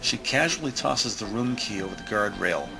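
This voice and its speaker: Low, creaky male drama narrator. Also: lively intonation, normal speed, over music, rough recording.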